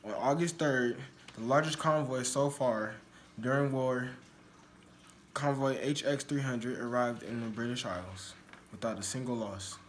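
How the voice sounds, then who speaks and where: A man's voice: mid-range voice, a little slow. Man reading news, faintly noisy recording. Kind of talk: scripted news report read aloud.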